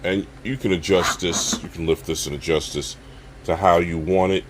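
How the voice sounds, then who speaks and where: A man with a low voice talks through a product in a casual, halting way, addressing viewers directly as a reviewer. There is clear steady noise behind him, like a room hum.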